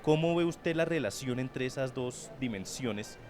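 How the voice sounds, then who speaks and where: A man interviewing someone conversationally, building long questions deliberately and rephrasing as he goes. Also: mid-range voice, animated, over music.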